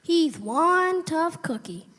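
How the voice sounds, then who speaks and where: A woman's voice: extremely high pitch, very animated, slow pace, fairly bright tone, full character voice. A woman playing a gleefully cruel talking bullet in an audio drama. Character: gleeful, cruel female bullet enjoying killing.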